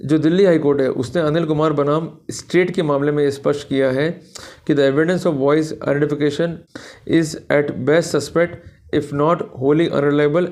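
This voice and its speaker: An Indian man giving an explanatory legal lecture in a didactic manner, slowing down deliberately for quoted passages. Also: fairly high pitch, a little mellow. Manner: didactic, repeats filler phrases, slows down for English quotations. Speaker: law lecturer. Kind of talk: explanatory legal lecture to camera, Hindi mixed with English.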